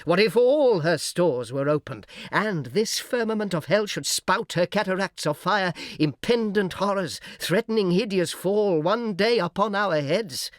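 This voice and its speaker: A man playing a smooth, persuasive fallen angel who argues in measured rhetorical questions, in a dramatic reading of epic verse. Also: high-pitched, animated, no room sound, clean studio sound, voice pushed up. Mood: urgent, rhetorical. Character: smooth, persuasive fallen angel, graceful false-hearted orator.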